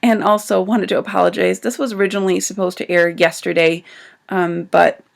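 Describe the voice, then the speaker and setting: A woman's voice: on the low side, level tone, fairly quick, gravelly. A woman doctor who is getting over a cold gives an informal talk on survival medicine, with frequent ums as she explains. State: recovering from a cold. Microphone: livestream setup.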